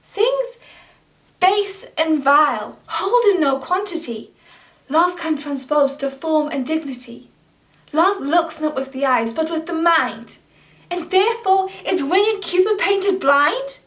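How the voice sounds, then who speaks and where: A young woman playing a lovesick young woman who speaks Shakespearean verse in a measured, deliberate way, recorded from a distance. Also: high-pitched, lively intonation, fairly bright tone, exaggerated voice. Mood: wistful. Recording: distant camera mic.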